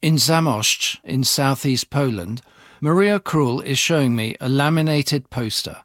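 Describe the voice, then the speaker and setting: A man's voice: mid-range voice, no echo. Dry-toned man reporting the news. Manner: clear, measured voice-over delivery. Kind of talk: scripted news narration.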